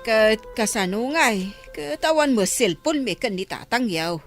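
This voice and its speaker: Woman playing a highish-voiced Ilocano granny. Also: animated, measured pace, music in the background. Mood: tired. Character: tired but fond elderly village grandmother. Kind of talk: Ilocano village comedy radio drama.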